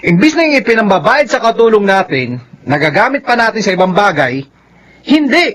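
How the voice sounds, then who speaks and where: An actor playing a curt, domineering younger brother and husband in a Filipino radio drama. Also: male voice, high-pitched, sing-song, fairly quick, a bit bright, slight background noise. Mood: scornful, scolding.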